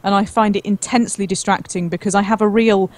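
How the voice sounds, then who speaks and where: A woman's voice: mid-range voice, fairly even intonation, quick pace, no echo. Frank woman chatting casually, mid-range voice. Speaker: guest, gaming video creator. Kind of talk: casual chat.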